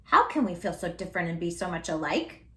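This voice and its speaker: A woman voicing a curious, excitable baby bird in a storybook, chirping and exclaiming. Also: on the low side, fairly quick, pitched below the performer's own voice. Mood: wondering.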